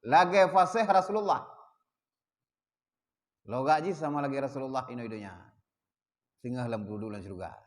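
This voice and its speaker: A man with an Acehnese accent teaching a religious study group, slowing down to chant Arabic passages and asking listeners rhetorical questions. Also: mid-range voice, big-room sound. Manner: mixes plain explanation with slow chanted Arabic readings; asks the audience rhetorical questions. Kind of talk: kitab study lesson. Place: prayer hall.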